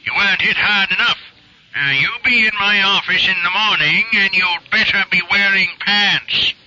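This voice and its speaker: An actor playing an irascible, impatient newspaper boss who splutters curtly in an old-time radio drama. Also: male voice, sits high, normal speed, bright, forceful tone. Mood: irritated. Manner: spluttering, curt, exasperated. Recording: muffled old radio broadcast.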